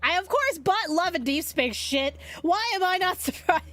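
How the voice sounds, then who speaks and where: A young woman with a high voice talks to her livestream chat in a loose, rambling way, full of fillers and restarts. She sounds a little sniffly from a cold. Faint music plays quietly under her desk-mic voice. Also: lively intonation, moderate pace. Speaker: VTuber streamer.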